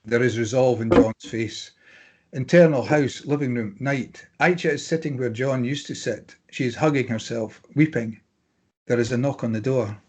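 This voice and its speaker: A man's voice: medium pitch, moderate pace, a little mellow, husky. A man reading stage directions as scripted narration at a drama table read.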